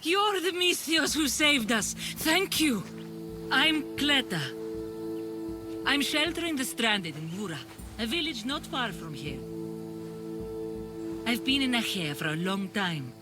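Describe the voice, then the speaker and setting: A woman's voice: a little high, big pitch swings, slow, pauses often. An actress playing an earnest, guarded village woman who shelters refugees, telling things dramatically in a video game scene over music. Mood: earnest foreboding.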